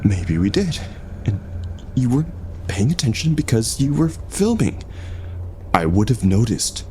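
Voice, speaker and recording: Man voicing a blunt, suspicious young woman, pitched up. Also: sing-song, unhurried, warm tone, slight background noise. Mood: accusing. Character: tense, sharp-tongued, suspicious young woman friend.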